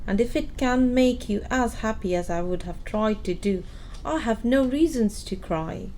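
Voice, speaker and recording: A woman acting as a sad, earnest young fiancée in an amateur audio play, gently reproachful and measured. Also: on the high side, animated, a little hiss or hum, poor audio.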